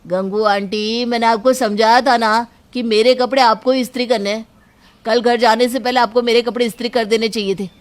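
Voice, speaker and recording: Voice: mid-range voice, fairly even intonation, fairly quick, lightly acted. A performer voicing an easygoing young college man in a household story, casually giving instructions. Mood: mildly annoyed.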